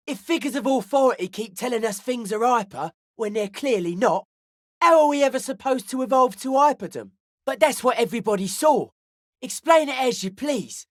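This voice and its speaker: A man's voice: extremely high pitch, dry recording, studio quality. A man playing an intense young hardcore raver in a video game, with a slangy, preachy, grandiose way of talking. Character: intense young male hardcore raver, drug-loving, anti-authority philosopher. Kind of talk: video game character dialogue.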